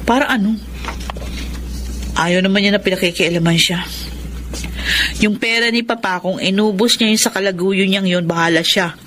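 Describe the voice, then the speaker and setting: An actress as a sharp, bitter Filipino daughter. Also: female voice, medium pitch, fairly even intonation, normal speed, long pauses, a little hiss or hum, close to an everyday voice. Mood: resentful, weary. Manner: curt, aggrieved. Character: bitter, resentful grown daughter of a widow. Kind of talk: Filipino radio drama acting.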